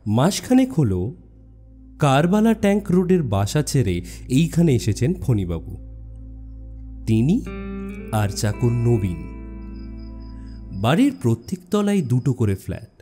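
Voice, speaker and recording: A man narrating a suspense story as an audiobook, expressive and dramatic, with deliberate pauses. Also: medium pitch, faint music behind.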